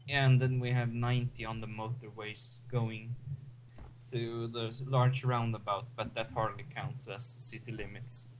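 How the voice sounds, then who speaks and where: A man's voice: medium pitch, normal speed, a little hiss or hum, low-quality recording. A young man from Sweden with a Swedish accent, chatting and rambling casually while playing a game. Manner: rambling, relaxed, with restarts. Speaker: game streamer.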